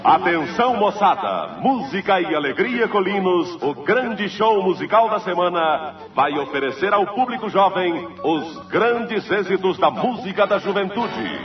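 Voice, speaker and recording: A man's voice: sing-song, measured pace, recorded from a distance. A man with a Brazilian accent announcing a vintage radio show in a grand, theatrical showman style. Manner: grand, booming showman delivery. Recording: muffled echoey old radio archive, loud music.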